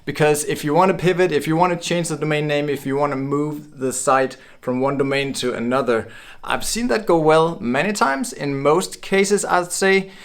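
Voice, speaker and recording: A man's voice: fairly high pitch, moderate pace. A man from Denmark giving a casual, upbeat explainer to camera, chatty and chuckling now and then. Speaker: blogging course creator.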